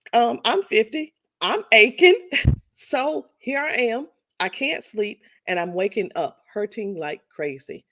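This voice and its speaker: A woman in her fifties talking casually to her viewers, chatty and repetitive, often restarting her sentences. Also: mid-range voice. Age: 50s. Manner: chatty, repeats herself, addresses viewers as y'all. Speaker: vlogger. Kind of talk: casual testimonial monologue.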